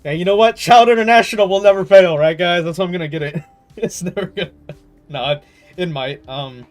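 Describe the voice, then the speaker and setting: A man with a slightly high voice talks casually as he streams a game. He thinks aloud in slangy, stop-start bursts and swears now and then. Game music plays faintly behind him. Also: brisk. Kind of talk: casual livestream chat, rambling gaming commentary.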